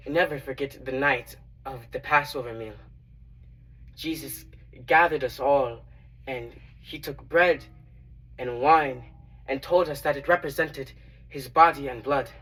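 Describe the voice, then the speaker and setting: A young man playing a reverent, earnest disciple who recounts his memories in a halting, reflective dramatic monologue. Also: medium pitch, fairly bright tone, distant mic, rough recording. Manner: halting, reflective, with long pauses.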